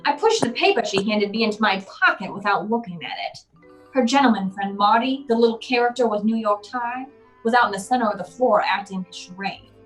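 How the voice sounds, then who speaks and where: A woman's voice: medium pitch, a little fast, a bit bright, soft background music, lightly acted, poor audio. A woman playing a hardboiled private eye, narrating a noir radio play in a dry, wry, tough way.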